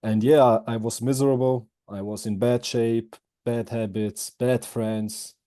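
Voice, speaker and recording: A man in his twenties from Switzerland, answering interview questions casually in halting English with many fillers and restarts. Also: mid-range voice, fairly even intonation, warm tone, no echo, clean studio sound. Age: 20s. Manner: halting, loose phrasing with frequent fillers and restarts. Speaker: guest, former student.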